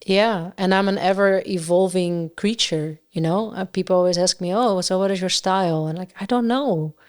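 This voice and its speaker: A woman with a Dutch accent, a singer-songwriter and guest on a podcast, chatting casually and often pausing to search for words. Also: on the low side, a little mellow. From Netherlands. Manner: searching for words, many fillers and restarts.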